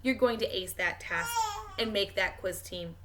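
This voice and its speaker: A woman's voice: mid-range voice, unhurried, background noise, natural voice. A woman voicing an affectionate, easygoing father in a storybook, with a playful, teasing manner. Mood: playful, fond.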